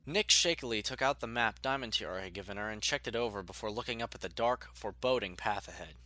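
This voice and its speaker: A man narrating a scripted story in an expressive storytelling manner. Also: fairly low pitch, quick pace.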